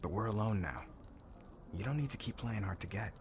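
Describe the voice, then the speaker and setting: A man's voice: fairly low pitch, animated, fairly quick, noisy background, lightly acted. A man playing a cocky young warrior suitor in an ASMR roleplay, with a slangy, brash, guarded way of talking. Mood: irritated, cocky.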